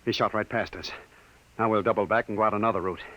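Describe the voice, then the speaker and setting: A man playing a calm, secretive man leading a fugitive to a hideout, in 1950s radio drama style, steady and low-voiced. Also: fast talker, close to an everyday voice. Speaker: radio actor playing Mister Wade. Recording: dull old radio broadcast with hiss.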